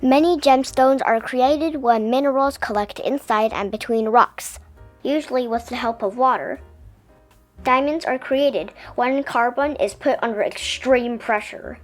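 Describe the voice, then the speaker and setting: A woman reading a children's science picture book aloud in an expressive, explanatory storytelling way. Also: on the high side, unhurried, faint music behind.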